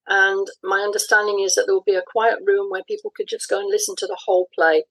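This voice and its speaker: A woman's voice: mid-range voice, little pitch movement, quick pace, fairly bright tone, rough recording. A woman speaking informally as a guest writer in an interview.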